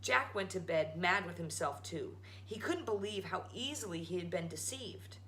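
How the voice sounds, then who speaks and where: A woman's voice: on the low side, animated, normal speed, fairly bright tone, slight background noise. A woman reading a children's story aloud as a storytime host. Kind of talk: animated children's read-aloud.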